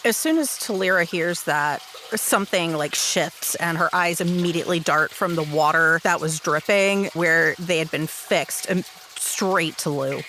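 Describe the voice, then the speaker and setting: A woman's voice: fairly low pitch, a little slow, few pauses, bright, forceful tone, slight background noise, low-quality recording. A woman improvising story narration as a player in a tabletop roleplay game.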